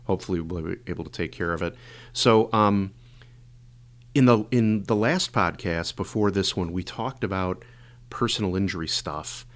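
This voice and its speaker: Brief hoarse male podcast host, very low voice, loose rambling delivery. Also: normal speed. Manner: rambling, filler-heavy explaining. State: hoarse and congested. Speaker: podcast host, injury lawyer. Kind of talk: casual podcast monologue.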